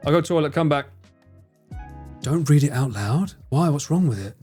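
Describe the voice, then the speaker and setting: A man's voice: a little high, lively intonation, moderate pace, a little mellow, husky, faint music behind. Sweary, joking streamer man. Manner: sweary, jokey, talks to chat and laughs often. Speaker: livestreamer. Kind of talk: live stream chat while gaming.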